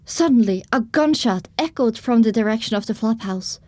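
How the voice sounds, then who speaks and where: A woman reading scripted first-person story narration for an audio drama, with expressive storytelling delivery. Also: on the high side, normal speed, no echo.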